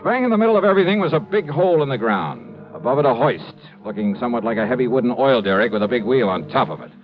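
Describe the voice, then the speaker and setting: A man's voice: medium pitch, big pitch swings, quick pace, natural voice. A man playing a wry radio insurance detective. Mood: narrating. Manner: dry, laconic, wisecracking. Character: wry male freelance insurance investigator. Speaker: radio detective lead and narrator. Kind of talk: 1950s radio drama acting. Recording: dull old radio broadcast with music.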